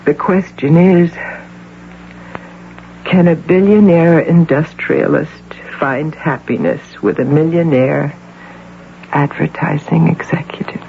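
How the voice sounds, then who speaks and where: A regretful, weary career woman in a radio play. She speaks slowly with a creaky edge, on a dull old tape. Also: low voice, heavily acted. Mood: wry. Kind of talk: old-time radio drama acting. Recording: muffled, lightly noisy radio broadcast tape.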